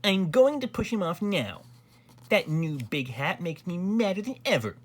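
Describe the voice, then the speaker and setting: A storybook narrator voicing a spoiled, spiteful, impatient young Grand Duke in a whiny, drawn-out snap. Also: mid-range voice, big pitch swings, voice pushed up. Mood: impatient, angry.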